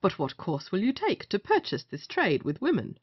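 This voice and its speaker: A woman's voice: mid-range voice, huge pitch swings, moderate pace, breathy edge, no echo, lo-fi sound. A woman playing a sardonic male gallant in a period stage comedy, wry and teasing with a companion.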